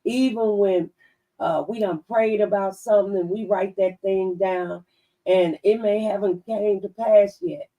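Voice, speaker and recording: A woman's voice: medium pitch, fairly even intonation, a little slow, mellow. A woman chatting on a radio talk show. She drives her points home with plain, homely analogies and advice. She is picked up a little far from the mic, so her voice sounds set back. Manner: folksy, preachy, gives advice through plain analogies. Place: radio studio.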